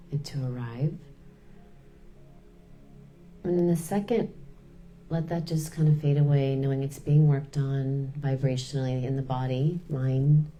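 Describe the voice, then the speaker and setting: A woman leading a guided meditation in a soothing, unhurried way, pausing between short phrases. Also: a bass voice, warm tone.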